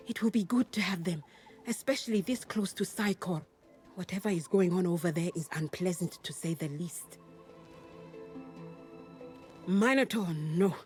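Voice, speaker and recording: A woman playing a pragmatic, guarded camp leader in a video game, speaking in a measured, matter-of-fact way. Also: mid-range voice, a little mellow, husky, lightly acted. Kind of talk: video game dialogue acting.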